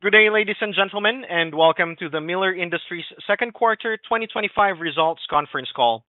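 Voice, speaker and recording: A man's voice: sits high, fairly quick, no echo. A man working as a conference call operator, making a formal, polite scripted announcement. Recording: dry, tinny phone line.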